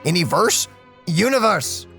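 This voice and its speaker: A man's voice: sits high, big pitch swings, unhurried, creaky, a bit bright, soft background music. A man chatting casually as a co-commentator on a video game playthrough. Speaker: let's play commentator.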